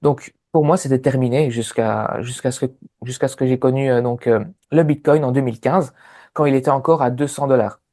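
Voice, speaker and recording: A man's voice: mid-range voice, steady tone, a little fast, warm tone. A man in his thirties with a Sicilian Italian accent, telling his story as an interview guest, with many hesitant fillers. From Sicily, Italy. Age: 30s. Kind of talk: relaxed personal story.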